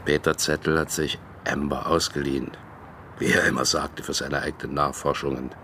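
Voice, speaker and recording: Gruff old dog handler, very deep. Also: male voice, fairly even intonation, normal speed, pushed and bright, slight background noise, heavily acted. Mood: resigned. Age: older. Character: old East German dog handler, gruff, wryly nostalgic veteran. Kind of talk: radio drama dialogue.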